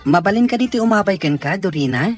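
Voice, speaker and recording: A man's voice: high-pitched, animated, fast, music in the background. A man playing a polite male character in a family audio drama. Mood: polite, concerned. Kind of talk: Ilocano audio drama acting.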